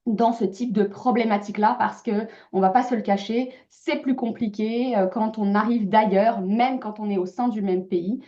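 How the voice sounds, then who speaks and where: A woman's voice: on the high side, fairly even intonation, normal speed, few pauses, dark tone, dull audio. A woman mortgage broker moderating a webinar over a video call, speaking hesitantly with many fillers. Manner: hesitant, many filler sounds, polite hosting. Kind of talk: webinar presentation.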